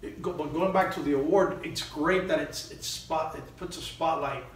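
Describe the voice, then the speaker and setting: A middle-aged man from Miami speaks as an interview guest in a halting, conversational way. The recording is very echoey and picked up from a distance, with faint noise. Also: fairly high pitch. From Miami, United States. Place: small indoor room.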